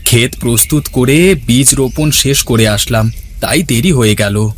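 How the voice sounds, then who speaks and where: A man voicing a good-natured village farmer, husband and father in a cartoon, speaking in a gentle, homely way. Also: medium pitch, normal speed, natural voice. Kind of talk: Bengali cartoon dialogue. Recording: clearly noisy, birds and outdoor ambience.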